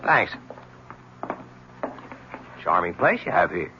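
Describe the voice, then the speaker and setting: A man's voice: deep voice, sing-song, takes it slowly, noisy background, full character voice. An actor playing a cocky, sardonic male newspaper reporter in a 1970s radio drama. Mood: needling. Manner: sardonic, needling. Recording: dull lo-fi 1970s radio broadcast.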